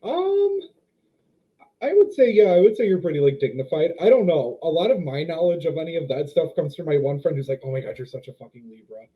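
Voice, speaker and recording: Guest, man in his 20s, animated and hesitant, slightly dull lo-fi recording. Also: a little high, brisk. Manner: expressive, hesitant, searches for words. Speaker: dog trainer guest. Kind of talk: casual podcast interview.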